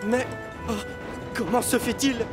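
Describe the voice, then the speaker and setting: A man's voice: extremely high pitch, steady tone, a little slow, over loud music. A man voicing a boastful, impatient big male express locomotive in a children's cartoon, pompous and full of exclamations. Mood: flustered. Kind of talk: animated children's series acting.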